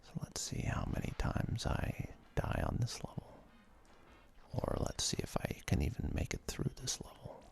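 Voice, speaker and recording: A person whispering laid-back, halting commentary over a video game in an ASMR style, as the host of a gaming video.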